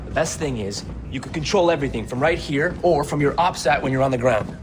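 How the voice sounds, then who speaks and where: An actor playing an eager, chatty young tech whiz in a game cutscene, casual and slangy. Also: male voice, mid-range voice, brisk, noisy background, natural voice. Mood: excited, proud. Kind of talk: dramatic game cutscene acting.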